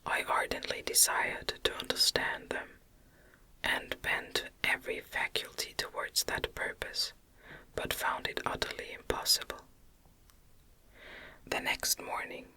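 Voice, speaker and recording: Slow whispering woman, breathy and crisp. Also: poor audio. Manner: slow, gentle, careful phrasing. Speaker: audiobook reader. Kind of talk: whispered ASMR reading of a gothic novel.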